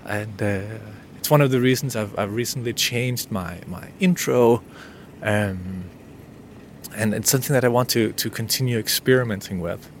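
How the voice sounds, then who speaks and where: A man's voice: mid-range voice, normal speed, slight background noise. A man whose first language is Danish hosts an unscripted solo podcast, talking in a hesitant, intimate way with many restarts. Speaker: podcast host.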